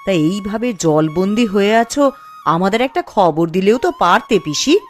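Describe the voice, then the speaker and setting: A woman's voice: medium pitch, animated, normal speed, faint music behind, lightly acted. A woman voicing a caring, fretful younger village woman in a cartoon story, with an entreating manner. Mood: concerned.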